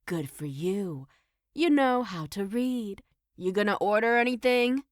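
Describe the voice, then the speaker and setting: Woman playing a slow, stern female innkeeper. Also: medium pitch, animated, no echo, clean studio sound, natural voice. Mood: curt, sarcastic. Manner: blunt, scolding. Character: stern, matter-of-fact female innkeeper, blunt and bossy. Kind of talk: audio play character acting.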